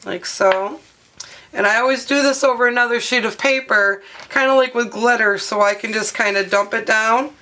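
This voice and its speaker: A hoarse woman in her thirties, her voice partly lost, chatting casually with many fillers as she talks through a craft tutorial at her home studio desk. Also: a little high, normal speed, sharp tone. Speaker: craft video host. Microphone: overhead camera mic, speaker off-frame.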